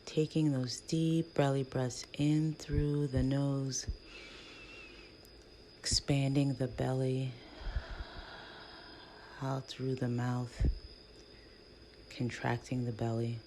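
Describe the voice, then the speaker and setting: Woman, meditation guide, very slow pace, dark soft tone, hushed and breathy, long pauses, faint noise behind. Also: a bass voice, level tone, poor audio. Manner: hushed, soothing, with long pauses between phrases.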